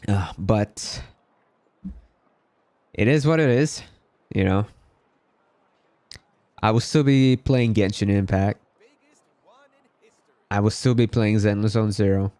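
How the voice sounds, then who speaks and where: A man's voice: lowish voice, takes it slowly, long pauses, mellow, no echo. A man from the Philippines chatting casually as he hosts a game livestream. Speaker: game streamer.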